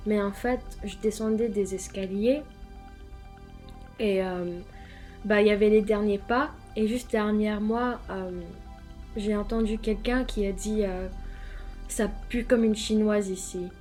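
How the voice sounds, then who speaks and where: Guest, young woman from the Philippines, English-speaking accent in French, halting testimony, mid pitch. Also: steady tone, darkish tone, soft background music. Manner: hesitant, halting, full of fillers and restarts. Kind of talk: personal testimony in an interview.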